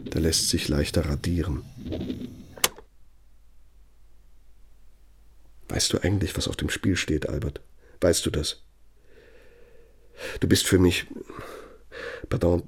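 Radio play: proud older man, low-pitched and husky, bitterly accusing a former friend with deliberate sarcasm. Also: exaggerated voice. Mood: wounded, reproachful. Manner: bitter, sardonic, deliberate, reproachful. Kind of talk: radio drama monologue.

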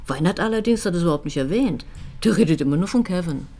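An actress playing a composed police detective in a naturalistic crime drama, probing and measured in conversation. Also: female voice, on the low side, expressive, mellow. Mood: wry, speculating.

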